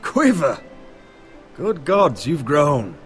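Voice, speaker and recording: A man's voice: on the high side, sing-song, extremely slow, full character voice. An actor playing a young, wounded noble lord in a fantasy video game, earnest and cautious in his speech. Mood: tender. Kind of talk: video game dramatic acting. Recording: music behind the voice.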